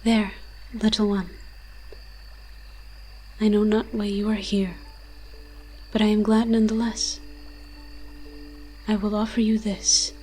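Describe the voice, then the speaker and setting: A woman's voice: medium pitch, level tone, slow, pauses often, mellow, husky, faint music behind. A woman voicing an ancient, moss-covered forest demon in an audio roleplay, tender and protective, speaking in solemn, poetic, archaic storytelling phrases. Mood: gentle, grave.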